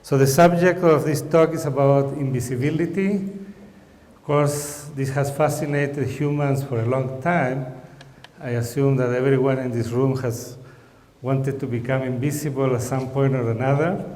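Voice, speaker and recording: A man from Chile giving an academic lecture, explaining calmly with light humor. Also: mid-range voice, normal speed. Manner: relaxed, explanatory, gently humorous. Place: lecture hall. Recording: echoey lecture hall, faint room noise.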